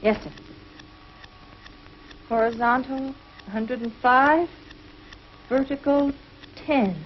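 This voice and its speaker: A woman playing an earnest, precise space crew scientist in 1950s television adventure style, with crisp delivery. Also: high-pitched, slow pace. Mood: serious, puzzled. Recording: dull, noisy 1950s TV film soundtrack.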